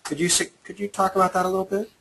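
A man's voice: high voice, little pitch movement, rapid-fire, creaky, a bit bright, a little hiss or hum. A man moderating a webinar, relaying audience questions to the presenter.

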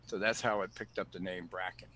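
Male preserve director, lowish voice, on a video call, explaining with stops and restarts. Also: steady tone, pushed and bright, rough recording. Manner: explanatory, halting with fillers and restarts. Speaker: bat cave preserve director. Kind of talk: answering questions in an online talk.

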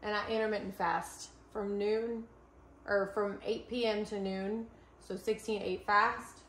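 A woman's voice: medium pitch, fairly even intonation, measured pace, a bit bright. A young woman chatting casually while cooking lunch in her home kitchen, with self-mocking asides. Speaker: vlogger. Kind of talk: casual cooking vlog chat. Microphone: camera mic from a few feet away. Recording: echoey kitchen, faint cooking noise.

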